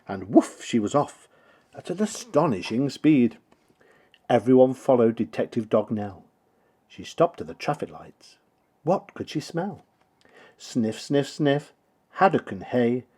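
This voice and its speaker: A man reading a rhyming children's story aloud with theatrical, rhythmic, expressive delivery. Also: mid-range voice, breathy edge. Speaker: storybook reader.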